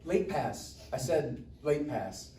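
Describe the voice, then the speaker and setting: A man's voice: mid-range voice, lively intonation, slow pace, dark tone. Guy as cheeky slacker teen, talks back, mid voice, far from the mic. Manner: cheeky, slangy. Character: smart-mouthed, defiant stoner teenage boy. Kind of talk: live comedy screenplay table read. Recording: echoey room, distant mic, faint noise.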